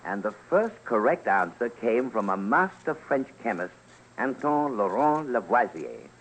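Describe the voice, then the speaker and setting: A man's voice: medium pitch, sing-song, a little slow, fairly bright tone, husky, low-quality recording. A man narrating a scripted radio documentary as commentator, formal, theatrical and emphatic. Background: music.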